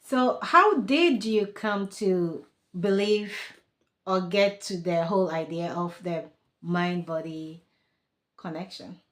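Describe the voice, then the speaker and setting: A woman hosting a podcast interview, asking questions in a warm, encouraging way. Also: on the low side, slow, roomy sound. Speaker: podcast host. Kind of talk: casual interview.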